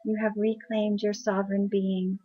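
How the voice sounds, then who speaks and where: A woman leading a guided meditation in a soft, soothing, unhurried voice. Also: mid-range voice, flat delivery. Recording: dull lo-fi recording.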